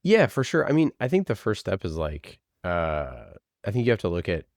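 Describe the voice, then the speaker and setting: A man with a very deep voice talks as a guest in a casual interview. He feels his way through answers in a halting, conversational manner. The sound is dry, with hardly any room in it. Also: big pitch swings, no echo. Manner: halting, conversational, frequent "like" and "you know". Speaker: podcast producer, interview guest.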